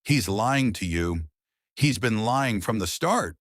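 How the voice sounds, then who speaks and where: A man's voice: fairly low pitch, animated, takes it slowly, a bit bright, no echo, clean studio sound, voice pushed up, exaggerated voice. A man voicing a desperate gunman who insists, pleading, that she listen.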